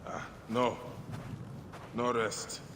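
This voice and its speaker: Stubborn weary game hero out for revenge, echoing reverb, noisy background. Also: male voice, mid-range voice, fairly even intonation, very slow. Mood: grimly determined. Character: driven, weary male avenger, stubborn yet affectionate. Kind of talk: video game cutscene acting.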